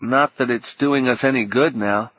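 A man's voice: mid-range voice, moderate pace, lightly acted. A man voicing a sick, exhausted teenage boy who talks wearily and with resignation. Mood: wry. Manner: weary, flat, resigned. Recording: dull lo-fi cassette audio.

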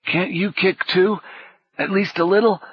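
A man voicing a panicky teenage boy who shouts and pleads in a high, strained voice. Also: lively intonation, normal speed, husky. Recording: dull lo-fi cassette audio.

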